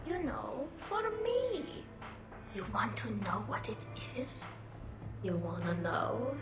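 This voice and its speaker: A woman playing a giggly, drunk alien woman in a suit in a video game, rambling with slurred, drawn-out words. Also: mid-range voice, wildly swooping pitch, heavily acted. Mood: tipsy, boastful. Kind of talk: video game character acting. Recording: roomy, game music behind.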